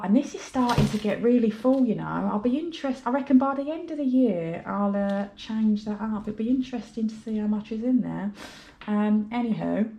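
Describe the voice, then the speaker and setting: A woman's voice: mid-range voice, dark tone, a little hiss or hum, rough recording. A British woman with a blocked-up nose from a head cold, chatting casually as she counts cash for her budget vlog. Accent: British English. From United Kingdom. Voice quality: chatty, rambling, apologetic. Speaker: budgeting vlogger.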